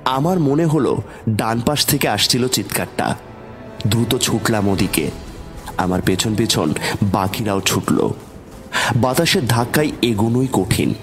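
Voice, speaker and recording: Male horror narrator: low voice, suspenseful, dramatic scripted storytelling over soft background music.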